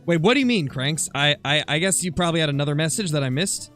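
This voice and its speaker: A man with a somewhat high-pitched voice talks casually on a gaming livestream. He thinks aloud in a conversational way while replying to viewers. Faint music plays behind him. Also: expressive, quick pace, a bit bright. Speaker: live streamer, gamer. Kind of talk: casual livestream chat over gameplay, answering viewer comments.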